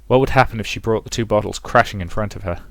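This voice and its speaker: A man's voice: lowish voice, quick pace, no echo. A man hosting a let's play, reading a visual novel as dramatic, rhythmic narration with some commentary. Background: music.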